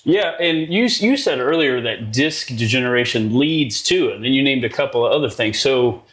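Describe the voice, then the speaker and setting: A man's voice: mid-range voice, lively intonation, moderate pace, fairly bright tone. A man hosting a casual interview, asking questions in a conversational way. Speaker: podcast host asking questions.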